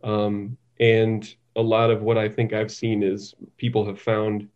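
A man speaking as an interview guest, thoughtful and halting, often repeating words as he talks conversationally. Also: on the low side, completely flat delivery, darkish tone, highs cut off. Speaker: interview guest, psychiatric nurse practitioner.

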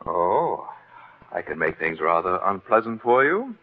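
An actor in a 1940s-style radio drama playing an odd, fawning blackmailer, formal and precise, with quiet menace under the politeness. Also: male voice, low-pitched, big pitch swings, a little slow, phone-quality sound, full character voice. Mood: quietly threatening. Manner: formal, precise, deferential with veiled threat. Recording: band-limited vintage radio recording.

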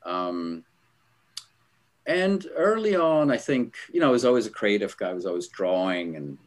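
A man's voice: lowish voice, sing-song, normal speed. A middle-aged man from Switzerland, a guest on a podcast, telling stories in a rambling way with lots of fillers and small laughs. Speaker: guest, album art director. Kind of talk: casual interview storytelling.

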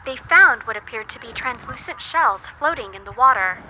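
A brisk female news reporter on the scene, as a woman reading a storybook puts her on. The voice sits high and sharp, with a measured, newsy cadence. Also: lively intonation, slight background noise, poor audio. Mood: reporting.

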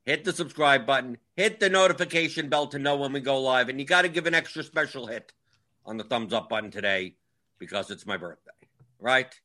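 A man's voice: medium pitch, lively intonation, brisk, bright, forceful tone. Wry, grumbling man in his forties. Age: 40s. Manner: wry, grumbling, repeats himself. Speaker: livestream host. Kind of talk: casual livestream chat.

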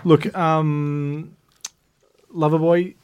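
A man co-hosting a podcast, asking questions in a measured, mock-serious way. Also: fairly high pitch, flat delivery, a little mellow, clear, resonant tone, highs cut off. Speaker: podcast co-host. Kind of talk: casual podcast interviewing.

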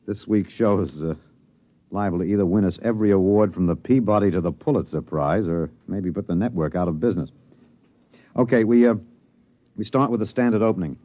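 A man's voice: sits low, a little fast, dry recording. An actor playing a driven, candid radio newsman and narrator in a 1950s radio drama. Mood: businesslike. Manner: off-the-cuff asides, then grave dramatic delivery. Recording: dry, dull old radio recording.

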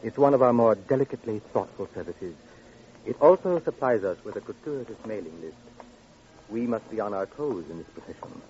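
Prim, fussy male funeral director for vintage radio drama, played by a man; lowish, unctuous, muffled recording. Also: lively intonation, brisk, darkish tone, a little hiss or hum, lightly acted. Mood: smugly proud. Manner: formal, unctuous, precise wording. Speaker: actor in a radio crime drama. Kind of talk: old-time radio acting.

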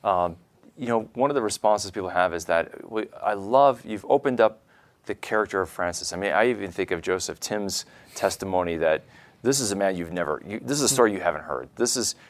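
A man's voice: sits low, a little fast. A man hosting a podcast, talking conversationally with his guest in an interview. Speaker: podcast host.

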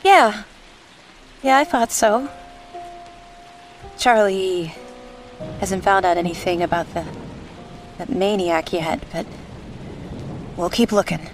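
An actress playing a gentle, sad, loyal detective's secretary in a radio drama. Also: female voice, mid-range voice, takes it slowly, long pauses, faint music behind, natural voice. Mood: gentle, somber.

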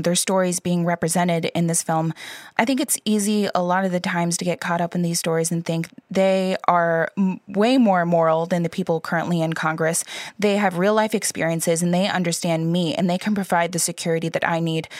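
A woman interviewer asking measured, thoughtful questions. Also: fairly low pitch, fairly even intonation, a little mellow, dry recording, clean studio sound.

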